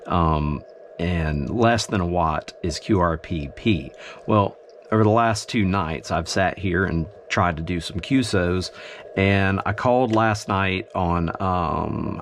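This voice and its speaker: A man with a very low voice talks casually through a hands-on hobby demonstration. He explains things in an unscripted, halting way, working out his thoughts as he goes. The home recording carries some background noise. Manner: halting, thinking aloud as he explains. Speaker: amateur radio hobbyist demonstrating his gear. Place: indoors in a home radio shack. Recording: clear steady background noise.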